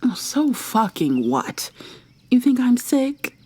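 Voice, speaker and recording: A woman playing an obsessive, coldly possessive killer in an intimate ASMR roleplay, soft and often whispery, with a deliberate, mock-sweet, threatening manner. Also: a little high, sing-song, breathy edge, full character voice. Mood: taunting menace. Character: obsessive, menacing female serial killer lover, coldly possessive.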